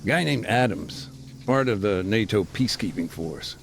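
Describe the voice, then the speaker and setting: An actor playing a middle-aged, war-weary former peacekeeper, speaking plainly with military jargon and dry humor, in a game cutscene. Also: male voice, lowish voice, expressive, moderate pace, gravelly, slight background noise. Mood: calm. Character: war-weary male ex-peacekeeper telling his story. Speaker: interviewee. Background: music.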